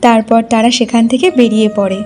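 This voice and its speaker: A woman's voice: fairly high pitch, fairly even intonation, fairly quick. A woman narrating a scripted cartoon story as the storyteller.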